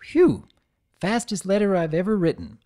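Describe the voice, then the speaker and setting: A man voicing a small, cheeky boy dragon with a squeaky, cocky, excitable manner. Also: moderate pace.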